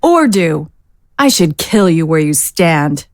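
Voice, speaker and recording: A woman's voice: low voice, very animated, slow pace, no room sound, clean studio sound, heavily acted. A woman playing a righteous, severe swordswoman in a fantasy audio drama, accusing and indignant in manner. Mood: stern, accusing. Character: stern, righteous female swordswoman of a warrior order.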